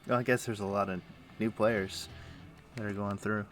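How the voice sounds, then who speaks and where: A man's voice: fairly low pitch, fairly even intonation, normal speed, fairly bright tone, soft background music. A man streaming a game, chatting casually and thinking aloud in an easygoing, musing way. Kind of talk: casual chat while playing an online game.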